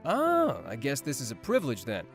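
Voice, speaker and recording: A man's voice: mid-range voice, huge pitch swings, fairly quick. An actor playing a teasing, smug, mysterious man in his twenties in a visual novel, with music behind him. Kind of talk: visual novel character acting. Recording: background music under voice.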